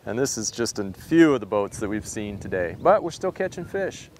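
A man's voice: fairly low pitch, big pitch swings, normal speed, a little mellow, a little hiss or hum. A middle-aged man chatting casually and enthusiastically while fishing from a drift boat outdoors. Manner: enthusiastic, exclaiming. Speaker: fishing guide and host. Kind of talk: casual on-camera chat.

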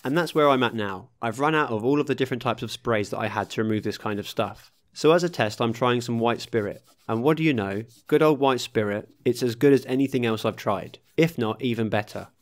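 A man reading a scripted voice-over for his own car restoration video, calmly explaining each workshop step. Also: medium pitch, a little fast, few pauses. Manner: steady, matter-of-fact explaining of workshop steps. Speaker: host of a car restoration channel.

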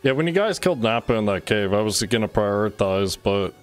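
A man's voice: on the low side, expressive, brisk, faint music behind. A man doing casual, sweary gameplay commentary as a streamer, chatting loosely to his teammates. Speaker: game streamer.

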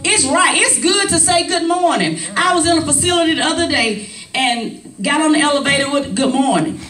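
A woman's voice: high voice, animated, brisk, fairly bright tone. An older woman pastor preaching a sermon, with sing-song rises and chatty asides, filmed from a distance with the congregation calling back. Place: small church sanctuary, preaching at a clear pulpit on a low stage. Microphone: handheld mic, filmed by a far camera. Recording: roomy church sound from a distance with congregation.

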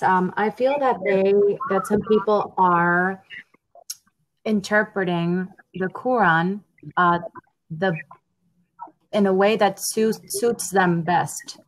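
Young woman, from Honduras, headset call, thoughtful, fills pauses with um. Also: medium pitch, low-quality recording. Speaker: language learner. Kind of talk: casual video call conversation. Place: home room.

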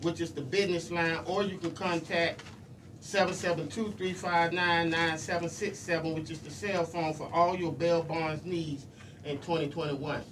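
High-pitched man hosting radio, noisy room. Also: steady tone, moderate pace. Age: middle-aged. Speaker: radio show host. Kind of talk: casual live radio talk with shout-outs. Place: small radio studio, indoors. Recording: noisy, distant room microphone.

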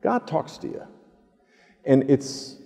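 An older man delivers a sermon in a space with long reverb. His voice is soft-edged and mellow, and his pitch moves freely as he earnestly stresses and repeats his phrases. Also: lively intonation, creaky. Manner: earnest, deliberate emphatic repetition. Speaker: pastor preaching. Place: large church sanctuary, indoors.

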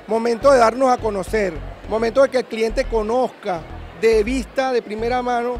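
A man speaks in a strikingly high voice, rattling off features in an emphatic promotional pitch. He is recorded at a busy indoor expo stand. Faint music plays behind him. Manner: emphatic, list-like promotional delivery. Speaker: exhibitor presenting his company's scales. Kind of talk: sales pitch at a trade fair booth.

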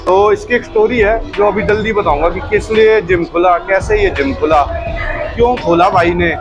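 A man's voice: high voice, moderate pace. A young man from India chatting casually as he vlogs his day, with music playing behind him. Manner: chatty, repeats "ठीक है". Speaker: vlogger. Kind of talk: casual vlog chat. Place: outdoors and in a gym.

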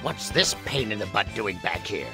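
A man's voice: fairly low pitch, sing-song, normal speed, gravelly, over music. A man playing a two-faced old male deity in an anime game, crotchety and fawning. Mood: grumbling.

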